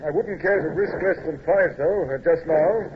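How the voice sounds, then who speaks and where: An actor playing a cautious, loyal navy captain in an old-time radio drama, respectful and a little hesitant. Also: male voice, on the high side, moderate pace, natural voice. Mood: alert. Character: dutiful, cautious British navy captain, loyal second-in-command. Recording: dull old radio recording, faint noise.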